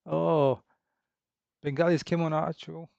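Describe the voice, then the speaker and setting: A man in his thirties from Romania, chatting casually with livestream viewers in a halting, relaxed way full of fillers and restarts. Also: a little high, creaky, no room sound. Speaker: indie game developer streaming. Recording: dull, slightly lo-fi dry sound.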